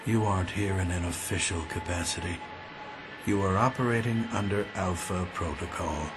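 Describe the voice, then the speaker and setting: A man playing a cold, controlled villain in a video game, speaking in measured, formal, menacing phrases. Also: low-pitched, natural voice. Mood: cold menace. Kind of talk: video game cutscene acting. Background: prominent music.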